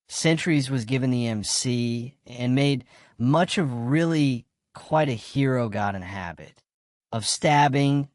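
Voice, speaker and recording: A man playing a terse, unhurried farm hand in a radio drama, with a laconic manner. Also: mid-range voice, no room sound, clean studio sound, lightly acted.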